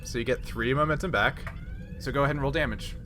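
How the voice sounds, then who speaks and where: A man referees a tabletop game in a casual, explanatory way. His voice sits at an average pitch, and there is clear noise in the room behind him. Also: quick pace. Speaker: game master.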